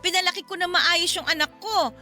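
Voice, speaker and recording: A woman's voice: very high voice, steady tone, brisk, sharp tone, faint music behind, heavily acted. A woman playing a defensive, sassy middle-aged Filipino mother who protects her son in a radio drama. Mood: defensive, offended.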